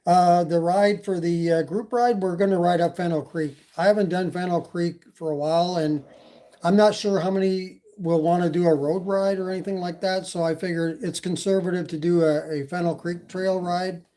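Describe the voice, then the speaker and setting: A man's voice: sits high, fairly even intonation, normal speed, dark tone. A man from Canada with a slight Canadian accent, hosting a casual e-bike live stream and chatting with viewers, with lots of fillers. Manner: rambling, many fillers, reads chat. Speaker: e-bike channel host.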